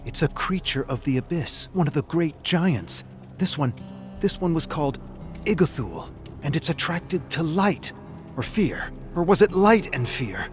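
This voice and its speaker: A man voicing a composed, pensive woman survivor in a horror story, measured and hesitant. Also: a little high, very animated, soft background music, pitched above the performer's own voice. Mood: uneasy recall.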